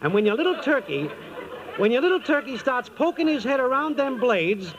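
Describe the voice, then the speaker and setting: A man's voice: very high voice, big pitch swings, moderate pace, noisy background. An actor playing a breezy wise-guy schemer in an old-time radio comedy, with cocky, slangy patter. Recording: dull old radio recording.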